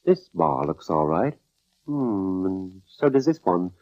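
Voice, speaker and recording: A man's voice: low-pitched, sing-song, slow, dry recording, exaggerated voice. A man playing a droll, genteel detective's sidekick in 1940s radio drama style. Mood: mild, thoughtful. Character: urbane, droll male sidekick of a detective, mildly theatrical. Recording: dull vintage radio recording, close and dry.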